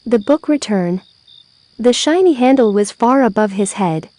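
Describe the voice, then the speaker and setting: A woman narrating a children's story aloud, with expressive, deliberate phrasing. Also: fairly high pitch.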